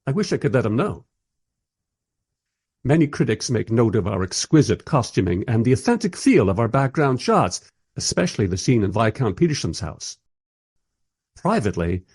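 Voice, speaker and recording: A man's voice: mid-range voice, a little mellow, breathy edge, dry recording, studio quality. An actor playing a wry modern filmmaker who narrates his own story in a scripted radio play. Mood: reflective.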